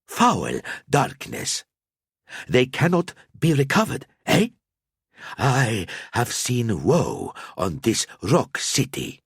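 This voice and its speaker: A man voicing a tiny, cryptic old male alchemist who speaks in slow riddles and tags lines with "eh?". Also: mid-range voice, wildly swooping pitch, vocal fry, husky, no echo, clean studio sound, pitched above the performer's own voice, exaggerated voice. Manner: slow cryptic prophecy with tag "eh?".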